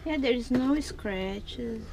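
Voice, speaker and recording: A woman's voice: on the high side, lively intonation, extremely slow, darkish tone. A woman chatting casually as a vlog partner inside a small camper. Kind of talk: casual chat. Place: small RV interior. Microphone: action camera nearby. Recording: clear background noise, clicks.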